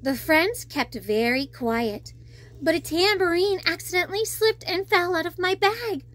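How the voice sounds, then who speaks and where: High-pitched woman reading children's stories. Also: measured pace. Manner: expressive, chatty asides to young listeners. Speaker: children's storyteller, party princess host. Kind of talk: animated storybook reading for children.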